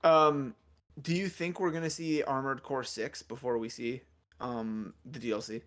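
A man's voice: mid-range voice, steady tone, measured pace, fairly bright tone. Male co-host, casual gaming podcast; jokey, profane, rambling delivery. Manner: joking, swears freely, rambling with fillers.

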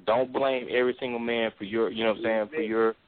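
A man with a baritone voice talks casually and emphatically on a call-in talk show. He laughs often and keeps saying "you know what I'm saying". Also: level tone, fast talker, a loud crowd. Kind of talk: casual opinionated chat. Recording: thin phone-line sound.

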